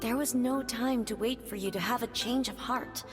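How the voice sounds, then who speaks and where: A woman voicing a gentle, serene spirit of a departed goddess in a video game, speaking tenderly and formally. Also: a little high, lightly acted. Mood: gentle, warm. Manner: tender, formal, unhurried storytelling delivery. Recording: long reverb, prominent music behind.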